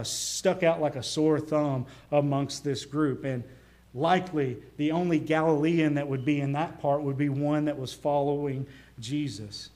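A male pastor with a Southern US accent preaching a sermon, earnest, swelling into emphasis and dropping quiet. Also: on the high side. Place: church.

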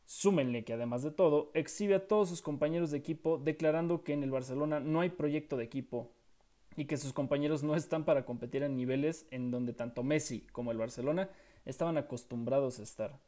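A man's voice: a little high, fairly quick, mellow. A young man hosting a sports commentary show, reading from a script in an opinionated way and asking many rhetorical questions. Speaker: sports podcast host.

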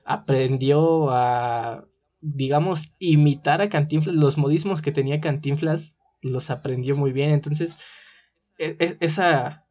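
A man's voice: a little high, slow, darkish tone. A man with a Mexican accent, co-hosting a casual chat about a TV series. He laughs often and explains at length with fillers. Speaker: podcast co-host. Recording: dull lo-fi recording.

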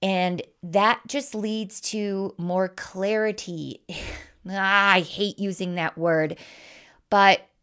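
A woman's voice: mid-range voice, fairly even intonation, slow, a bit bright, clear, resonant tone. A woman with a Midwestern American accent hosting a podcast monologue, speaking candidly and informally with emphatic, persuasive delivery. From Wisconsin, American Midwest. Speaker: life coach, podcast host.

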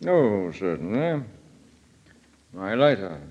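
A dignified old gentleman judge talks with genteel hesitation. His pitch swings very widely, on a muffled old-time radio drama recording. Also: sing-song, slow, exaggerated voice.

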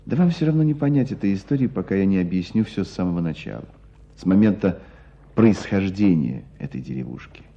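A man acting in a radio play as a knowing hunter who tells a story with deliberate emphasis. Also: low voice, animated, creaky, warm tone, husky, exaggerated voice. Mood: animated storytelling. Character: knowing male hunter recounting an old court case. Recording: dull, muffled radio play sound.

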